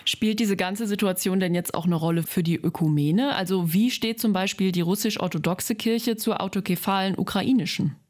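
A woman's voice: mid-range voice, moderate pace, hardly any pauses, darkish tone. Composed woman radio interviewer.

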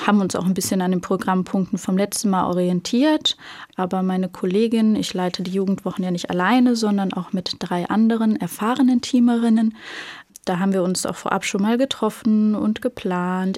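A woman's voice: mid-range voice, darkish tone, dry recording, studio quality. A woman who leads a youth program, answering interview questions in a friendly way with many hesitations.

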